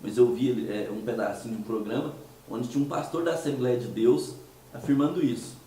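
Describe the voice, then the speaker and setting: A man from Minas Gerais with a Mineiro Brazilian Portuguese accent, hoarse from a cold, hosting a conversational commentary monologue with hesitant restarts and rhetorical questions. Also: medium pitch, brisk, mellow, heavy reverb, far from the mic, slight background noise, low-quality recording. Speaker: host of a commentary show.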